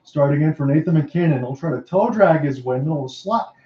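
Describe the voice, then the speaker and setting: A man calling hockey play-by-play, his calls rising sharply and quickly on scoring chances. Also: a little high. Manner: rapid excited calls that rise sharply on chances. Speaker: play-by-play announcer. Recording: dull lo-fi roomy home mic.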